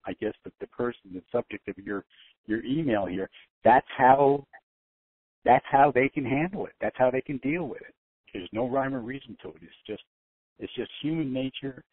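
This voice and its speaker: An older man talking in a rambling way on a conference call, halting and often repeating his words as he restarts. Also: medium pitch, dry recording. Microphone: phone conference call. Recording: narrow tinny phone line.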